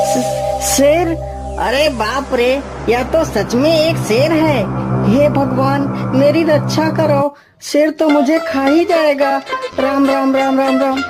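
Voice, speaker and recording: A woman voicing a poor, easily frightened village farmer who laments with repeated exclamations, in a children's cartoon story. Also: high voice. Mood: panicked.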